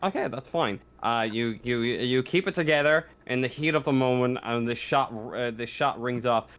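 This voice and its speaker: Male game master, mid-pitched voice, descriptive narration, informal rules talk. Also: normal speed, a bit bright, smooth. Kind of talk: narrating a tabletop roleplaying game.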